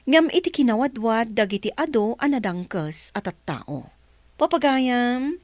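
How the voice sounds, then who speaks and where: Female radio drama host, Ilocano accent, unhurried scripted delivery with big melodic swings, dry sound. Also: mid-range voice, dry recording.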